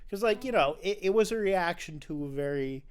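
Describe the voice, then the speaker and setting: Smooth, higher-pitched man, enthusiastic podcaster. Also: animated, a little fast. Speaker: podcast host. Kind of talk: casual film discussion.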